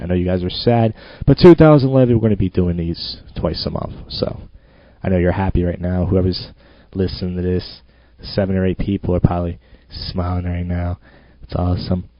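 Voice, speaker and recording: A man's voice: sits low, dry recording. A man hosting his own podcast, rambling and self-mocking in a loose monologue. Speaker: podcast host. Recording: dull lo-fi home recording.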